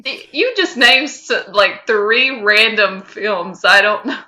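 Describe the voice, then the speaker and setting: A woman's voice: mid-range voice, slow, pushed and bright. A woman co-hosting a podcast in casual fan chat, speaking in an emphatic, opinionated way. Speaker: podcast co-host.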